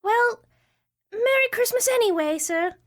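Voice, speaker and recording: A woman's voice: extremely high pitch, lively intonation, a little slow, background noise. A woman voicing a cheeky young street caroler who talks back in a chirpy way.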